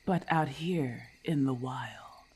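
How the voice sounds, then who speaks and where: A woman voicing a haughty, menacing female lamia in an ASMR roleplay, with a husky, breathy voice and formal, condescending diction. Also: rumbling low, slow, darkish tone, heavily acted. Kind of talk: ASMR roleplay, breathy half-whispered acting.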